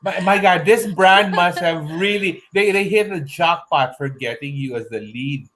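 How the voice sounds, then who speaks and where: A man's voice: sits high, moderate pace, fairly bright tone. Gushing male online show host. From Philippines. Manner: gushing, effusive, exclaims a lot. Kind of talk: online interview show hosting. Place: home room with shelves. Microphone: earphone headset mic on video call.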